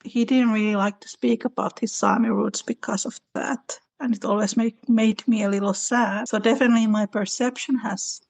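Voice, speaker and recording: A woman's voice: a little high, fairly even intonation, normal speed, vocal fry, hardly any pauses, a little mellow, dry recording. A woman in her thirties from Finland with a Finnish accent, explaining at length as an author in an interview, with small slips and restarts. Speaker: author and guest. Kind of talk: conversational interview.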